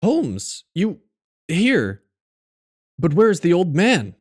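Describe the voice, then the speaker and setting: An actor playing an earnest, courteous gentleman, a detective's loyal friend, in an audio drama. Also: high-pitched, huge pitch swings, takes it slowly, full character voice. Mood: astonished.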